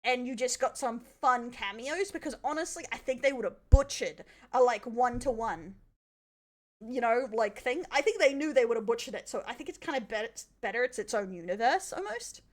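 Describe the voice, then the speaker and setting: A woman's voice: fairly high pitch, expressive, moderate pace. An Australian woman chatting casually as a streamer, rambling and opinionated with plenty of swearing. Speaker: streamer reacting to a video. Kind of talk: casual live reaction chat.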